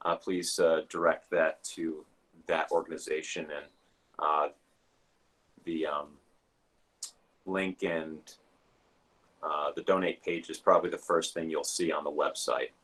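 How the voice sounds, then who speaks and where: A minister hosting an online service, giving announcements and introducing a guest in a halting way, with many filler sounds. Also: male voice, rumbling low, level tone, a bit bright, breathy edge.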